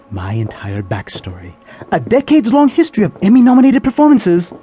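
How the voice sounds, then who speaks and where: A man's voice: mid-range voice, warm tone, lo-fi sound. A man playing a pompous, indignant veteran soap opera actor in an audio drama, with a theatrical, grandiloquent manner.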